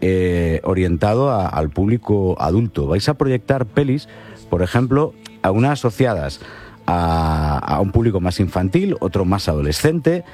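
A man's voice: low-pitched, big pitch swings, unhurried, mellow. A man from Galicia hosting a casual radio interview, chatty and laughing along with his guest. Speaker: radio host.

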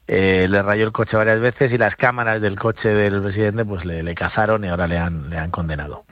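A man files a news report as a radio correspondent over a tinny phone line. His voice is low, and the sound is dry and close. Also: steady tone, brisk, dry recording.

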